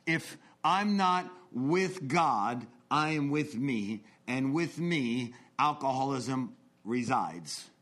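A man in his forties giving an earnest, confessional talk about his recovery, swinging between intense bursts and hushed asides. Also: mid-range voice, animated, slow pace. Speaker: recovery speaker. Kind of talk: personal testimony talk.

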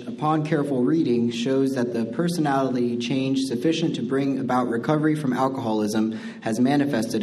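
A man reading a passage aloud at a meeting in a steady, measured way. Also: medium pitch. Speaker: meeting reader.

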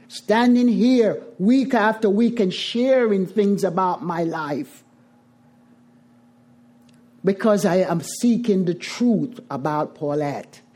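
A middle-aged Jamaican woman addresses a recovery meeting. Her voice is dark and mellow, with soft edges. She speaks deliberately and with emphasis, circling back over phrases to give them weight. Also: mid-range voice. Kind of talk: twelve-step recovery talk to a group.

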